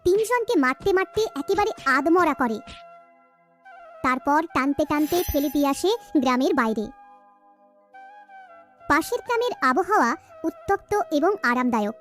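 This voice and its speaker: A woman with a West Bengal accent narrating a scripted children's story. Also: high-pitched.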